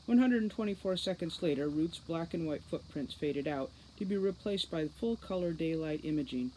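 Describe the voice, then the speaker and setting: A woman giving an expressive scripted narration of a story as an audiobook reader. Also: low voice, normal speed, slight background noise, low-quality recording.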